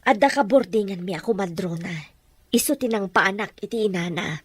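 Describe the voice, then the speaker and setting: A woman playing a gentle, anguished mother in an audio drama, speaking with emotional, pleading delivery. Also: medium pitch, fairly quick, breathy edge, no echo, close to an everyday voice. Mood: defensive, distressed. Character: gentle, anguished Filipino mother guarding an adoption secret.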